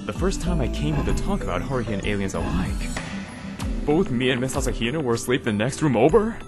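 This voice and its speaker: An actor playing a baffled high school boy in an anime fan dub, grumbling and incredulous. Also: big pitch swings, fairly quick, over loud music, close to an everyday voice. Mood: bewildered.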